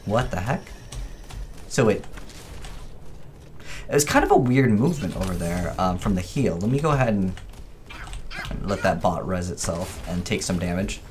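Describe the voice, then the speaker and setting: Low-voiced man commenting on gameplay. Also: animated, moderate pace, pauses often, a little hiss or hum. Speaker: gaming video creator. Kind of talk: casual off-the-cuff gameplay commentary.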